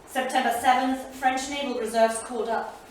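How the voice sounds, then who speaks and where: Female chronicler, acted by a woman, higher-pitched, formal declarative stage narration; heavy theatre echo. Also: steady tone, normal speed, lightly acted. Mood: formal, grave. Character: female chronicler formally announcing dates and events. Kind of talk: staged reading, scripted narration. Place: small theatre studio. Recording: echoey theatre, distant mic, faint noise.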